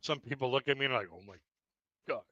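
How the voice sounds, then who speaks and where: A man's voice: medium pitch, fast talker, bright, forceful tone, no echo, lo-fi sound. A man hosting a live-stream interview who jokes about himself. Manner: joking, self-mocking.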